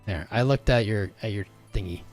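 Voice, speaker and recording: A man's voice: lowish voice, a little fast, a little mellow, faint music behind. A man hosting a casual crypto livestream, rambling in slang, talking straight to his chat, and his voice is wearing out. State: losing his voice.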